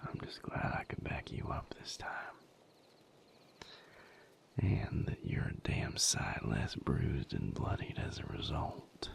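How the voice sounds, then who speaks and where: Wry vampire boyfriend, played by a man, for audio roleplay: deep, gravelly and breathy, lively intonation, folksy and tenderly teasing. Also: whispered, heavily acted. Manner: folksy, unhurried, softly teasing. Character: wry, tender male vampire boyfriend tending injured partner. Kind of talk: intimate audio roleplay acting.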